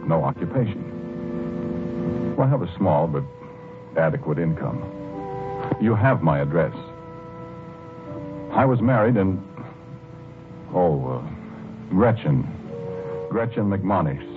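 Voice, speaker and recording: A tormented American man in his forties with a deep voice. He talks haltingly, weary and polite yet insistent, in 1940s radio-play style. The recording is narrow and muffled, with music clearly underneath. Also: sing-song, exaggerated voice. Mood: halting, subdued. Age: 40s. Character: tormented 41-year-old college man insisting on his innocence. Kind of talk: 1940s radio drama acting.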